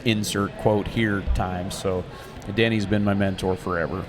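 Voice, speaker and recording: A man's voice: on the low side, level tone, a little slow, music in the background. A man in his forties co-hosting a casual talk show, speaking emphatically, with many restarts and repeated phrases. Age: 40s. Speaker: co-host.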